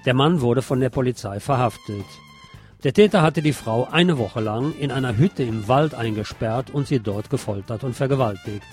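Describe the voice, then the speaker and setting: A man reads scripted news as a radio presenter in a medium-pitched voice. He slips in wry asides between the facts. Music plays faintly behind him. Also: moderate pace, darkish tone.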